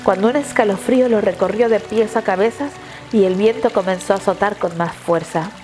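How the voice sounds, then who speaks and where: A woman narrates dramatic fiction in a measured, literary way. Her pitch sits in the middle range for a woman, and music plays faintly behind her. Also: a little mellow. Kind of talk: scripted dramatic story narration.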